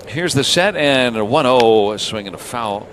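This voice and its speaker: A man calling baseball play-by-play on live radio in unhurried, clipped phrases. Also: medium pitch, animated, fairly bright tone. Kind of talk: live radio sports commentary. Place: baseball ballpark broadcast booth. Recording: clear crowd and ambient noise. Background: outdoor stadium ambience.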